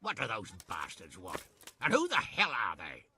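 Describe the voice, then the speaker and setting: An actor playing a blunt, sardonic medieval lord in a video game, gruff and hearty. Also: male voice, lowish voice, huge pitch swings, moderate pace, pushed and bright, slight background noise, heavily acted. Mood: exasperated, sardonic. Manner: gruff, sarcastic. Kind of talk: video game cutscene acting.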